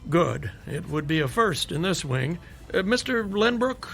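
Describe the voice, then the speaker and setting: An actor playing a brisk, wry, persuasive hospital doctor in a radio drama, dry and insistent. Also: male voice, fairly high pitch, very animated, vocal fry, a bit bright, exaggerated voice. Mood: brisk, sardonic.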